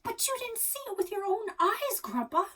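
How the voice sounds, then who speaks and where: A woman's voice: very high-pitched, big pitch swings, normal speed, bright, forceful tone, pitched above the performer's own voice, full character voice. A woman voicing a persistent, inquisitive child in a storybook, earnest and probing. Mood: probing, skeptical.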